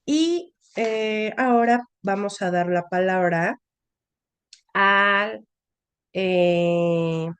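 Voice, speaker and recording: A woman's voice: medium pitch, clear, resonant tone, dry recording. A woman moderates an academic seminar session, thanking one speaker and introducing the next, with frequent "eh" and "este" fillers. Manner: unhurried, hesitant with "eh" and "este" fillers.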